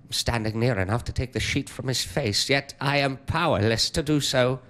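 A man's voice: mid-range voice, normal speed, a bit bright, natural voice. A man playing a frightened old miser, pleading theatrically with a spirit in stammering, exclamatory speech. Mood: anxious, hushed. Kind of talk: dramatic stage-style monologue.

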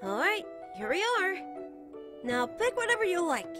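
A woman's voice: very high voice, big pitch swings, over music. A woman voicing a playful, teasing, fondly protective young bard boy in a giggly ASMR character roleplay. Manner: teasing, giggly, drawn-out phrases. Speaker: voice actor.

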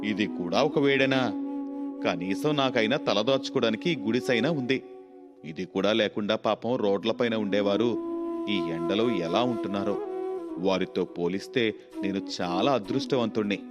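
A humble, contented young man, played by a male storyteller, speaking with calm reassurance. It is a moral storybook delivery, with clear music underneath. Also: lowish voice, moderate pace, pitched below the performer's own voice, close to an everyday voice. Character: Giri, contented humble poor young friend. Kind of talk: Telugu moral storybook acting.

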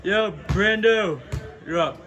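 Slangy guy gym vlogger over loud music. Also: sits high, slow. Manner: bro slang, swearing, hyping friends up. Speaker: vlogger filming a workout. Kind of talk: casual gym vlog chat. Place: outdoor yard and makeshift backyard gym. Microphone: handheld camera or phone.

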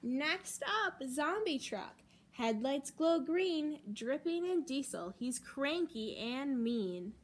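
A young American woman reading a picture book aloud to children as a storytime host, with deliberate, dramatic emphasis on key words. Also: sits high, animated, slight background noise. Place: library children's room, indoors.

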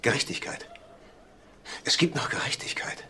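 A man's voice: moderate pace, bright, forceful tone, whispered. A man playing a bitter, resentful clerk in a crime drama, sneering and sarcastic with gleeful spite. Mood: stunned glee. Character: bitter, resentful male clerk gloating over colleague's death. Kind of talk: German TV crime drama acting.

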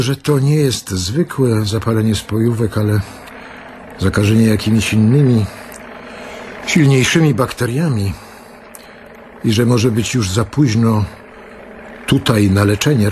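A man playing a grave, plain-spoken doctor in a wartime radio drama, speaking in halting, deliberate phrases. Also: on the low side, a little mellow, a little hiss or hum, close to an everyday voice. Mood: grave, concerned.

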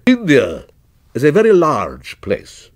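A male narrator puts on a shrewd shopkeeper from a storybook, selling with courteous, flattering patience. The pitch swings widely, and the words come through husky-free, crisp and close. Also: dry recording, full character voice. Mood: soft, reverent. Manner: businesslike, flattering, deliberate salesmanship. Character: shrewd, courteous male shop proprietor pressing a sale.

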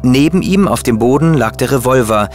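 An actor playing a detective's loyal assistant and chronicler in a German audio drama. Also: male voice, medium pitch, expressive, moderate pace, faint music behind, natural voice. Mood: narrating. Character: loyal male detective's assistant and chronicler, eager, slightly baffled.

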